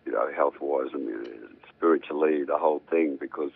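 An older man, a musician, chatting in a rambling, relaxed way as a radio guest. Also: rumbling low, moderate pace, creaky, husky, narrow, tinny audio. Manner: rambling, hums riffs aloud.

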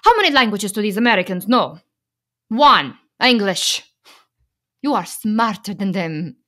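An actress playing a firm, protective Slovak immigrant wife in a radio play, speaking with a Slovak accent in a measured, pleading, emphatic way. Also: female voice, medium pitch, very animated, sharp tone. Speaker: actor.

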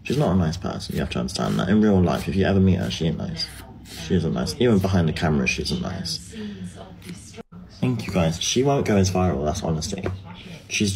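A woman's voice: rumbling low, gravelly, rough recording. A young woman speaking in a dismissive, boastful way about a rival streamer. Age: young adult. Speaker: livestreamer. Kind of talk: clip of a live stream.

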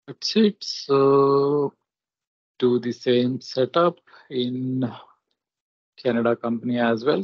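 A man walks through a software demo at an unhurried, halting pace. His voice is somewhat husky, and he gives short instructions one step at a time. The recording is dry and a little dull, like a screen capture. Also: medium pitch, no echo. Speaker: software tutorial presenter. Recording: muffled lo-fi screen-recording audio.

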